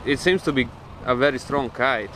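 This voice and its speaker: A man with a Hungarian accent, speaking at a middle pitch. He talks haltingly, often pausing with fillers. Faint wind sounds come from behind him. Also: sharp tone. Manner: hesitant, halting. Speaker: kiteboarder testing kites. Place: beach outdoors.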